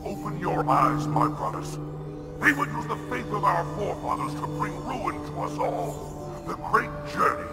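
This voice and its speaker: An actor playing an impassioned, defiant alien heretic leader in a video game, with earnest, declamatory delivery. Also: male voice, fairly high pitch, lively intonation, moderate pace, vocal fry, long reverb tail, loud music behind the voice. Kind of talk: cinematic video game acting.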